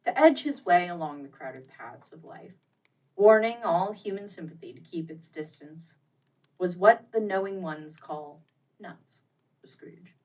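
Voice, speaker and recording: A woman narrating a storybook dramatically, with deliberate phrasing that swells and drops to a hush. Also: lowish voice, expressive, far from the mic, rough recording. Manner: theatrical, deliberate phrasing with strong swells and hushes.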